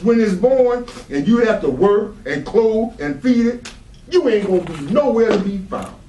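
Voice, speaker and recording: A man's voice: high voice, lively intonation, normal speed, warm tone, slight background noise. Guy acting mean bossy dad in a stage drama, swings up and down a lot, echoey and far from mic. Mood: scornful, stern. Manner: gruffly commanding, contemptuous. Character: harsh, angry, rejecting father with a shotgun. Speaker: stage actor in a readers theatre. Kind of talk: dramatic staged play reading. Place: live readers theatre room. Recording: roomy hall sound, far from mic.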